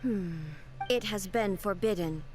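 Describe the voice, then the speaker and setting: A woman playing a regal, composed and aloof ruler deity in a video game, speaking formally and with measured command. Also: mid-range voice, close to an everyday voice. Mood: flat, resigned. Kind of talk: video game character acting. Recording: music behind the voice.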